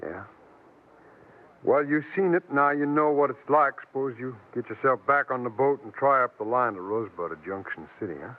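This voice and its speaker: An actor playing a surly, bullying sheriff's deputy in a 1950s radio western, curt and menacing. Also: male voice, medium pitch, normal speed, natural voice. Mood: curt, hostile. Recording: noisy, tinny vintage radio recording.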